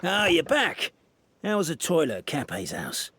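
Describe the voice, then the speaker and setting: A man's voice: on the high side, huge pitch swings, measured pace, a bit bright, slight background noise, heavily acted. A man voicing a cheeky, theatrical minion in a video game, given to stammering and mock-storybook narration. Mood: suspicious. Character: cornered, cheeky, theatrical male minion narrating his own escape. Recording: echoey hall reverb, music behind.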